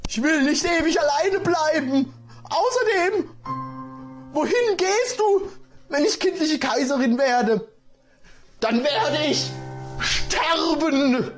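A man reading aloud and voicing a distressed young heroine in a high, strained, emotional voice. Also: expressive, takes it slowly, long pauses, soft background music, full character voice. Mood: anguished.

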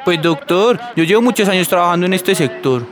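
A man plays a humble, rambling Colombian working guy in a radio drama. His voice is fairly high, with clear outdoor noise around it. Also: expressive, fairly quick. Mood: earnest, rambling. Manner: colloquial, deferential. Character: rambling, deferential male street worker. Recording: noisy outdoor street ambience.

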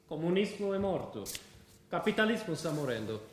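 Militant man, measured and insistent, slightly high voice, roomy theatre sound. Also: sing-song, slight background noise. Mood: ideological fervour. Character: calm militant jihadist man, persuasive, counselling patience. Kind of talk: stage-style radio drama acting.

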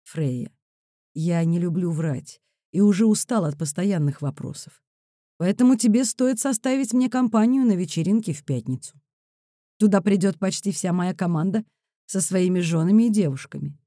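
A woman narrator plays a sporty man in his thirties from an audiobook romance. He teases in a relaxed, matter-of-fact way. The sound is soft, mellow and dry. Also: lowish voice, normal speed, dry recording, pitched below the performer's own voice, lightly acted. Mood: firm, fed up.

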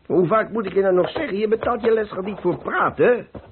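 An actor playing a gruff, modest, big-hearted master painter in a Dutch radio play, blunt and impatient, repeating himself. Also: male voice, sits high, a little fast, slight background noise, lightly acted. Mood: brisk, scolding. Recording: muffled old radio play recording.

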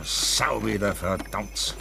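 An actor playing a gruff Bavarian man with a Bavarian accent who drives a sleigh through the snow in a radio play. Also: fairly low pitch, slow pace, noisy background, exaggerated voice. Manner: gruff, blunt, grumbling. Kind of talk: 1960s radio play acting.

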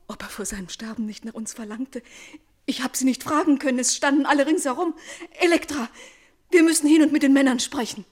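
An actress playing an anxious, soft-hearted young princess in grief, plaintive and emotional in a classical radio tragedy. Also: female voice, high-pitched, a little fast, lightly acted. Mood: pleading.

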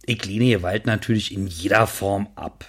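An actor playing an evasive, casually defensive man in a satirical radio monologue, with a husky voice. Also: on the low side, moderate pace, fairly bright tone.

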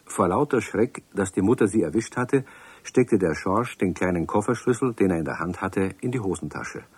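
A man narrating a children's radio play in a calm, expressive storytelling manner. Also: deep voice, moderate pace, husky, no room sound.

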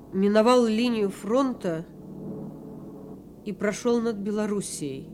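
An actress playing a wartime woman radio operator who narrates in a measured, solemn, wistful way in a radio drama. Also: fairly high pitch, noisy background.

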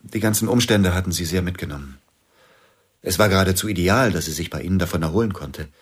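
An actor playing a grieving pianist and widower in a radio drama, speaking slowly and probingly, often sinking to a near whisper. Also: male voice, low voice, breathy edge.

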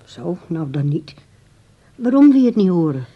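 An actress playing an insistent, coaxing grown daughter in a radio drama. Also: female voice, on the low side, sing-song, moderate pace, husky, dull audio. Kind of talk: radio drama dialogue and diary reading.

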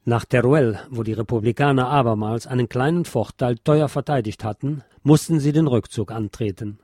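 A man narrates a written history text in the role of podcast host and reader. His voice sits at an average male pitch. The recording is dry, with very little room sound around the voice. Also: normal speed, dark tone, no echo. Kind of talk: scripted narration, reading a history book aloud.

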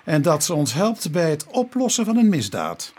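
An actor playing a courteous, methodical police inspector in a Dutch radio play, with a probing, matter-of-fact manner. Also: male voice, fairly high pitch, wildly swooping pitch, normal speed, darkish tone, a little hiss or hum. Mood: brisk instructing.

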